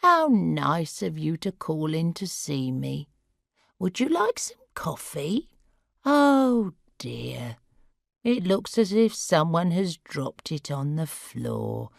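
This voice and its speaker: Confused old lady, slow and wandering, fretful, read by a woman. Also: on the low side, very animated, vocal fry, no room sound, studio quality, full character voice. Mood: vague. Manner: slow, wandering, easily upset.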